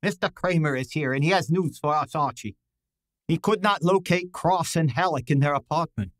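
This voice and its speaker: An actor playing a pompous, demanding master detective in an old-time radio drama, speaking formally and precisely. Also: male voice, a little high, moderate pace, fairly bright tone, no echo, natural voice. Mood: measured, dry. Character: pompous, demanding genius male detective boss.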